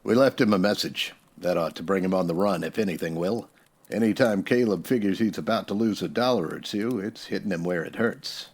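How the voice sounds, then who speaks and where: An American actor playing a steady, dryly defiant Old West marshal in a radio western, with a folksy, laconic delivery. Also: male voice, low-pitched, a little fast. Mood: calm, determined.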